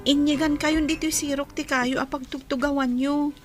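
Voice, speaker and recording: A woman voices a sad young child in a radio play, extremely high in pitch and plaintive. Faint music runs underneath. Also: steady tone, moderate pace, close to an everyday voice. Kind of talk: Ilocano radio drama acting.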